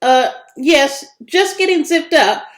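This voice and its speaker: Woman voicing an anxious child, hesitant, uneasy, storybook dialogue, lively intonation, roomy echo. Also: sits high, takes it slowly, pitched above the performer's own voice. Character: anxious, rule-abiding child narrator, hesitant. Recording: echoey room.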